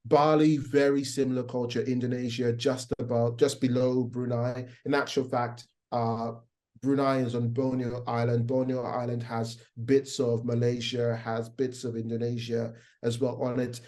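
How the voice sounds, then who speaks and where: A man's voice: medium pitch, moderate pace. Man with a Nigerian accent, American twang. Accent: Nigerian with a faint American twang. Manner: relaxed, rambling with many fillers and restarts. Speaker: guest. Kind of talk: casual interview chat.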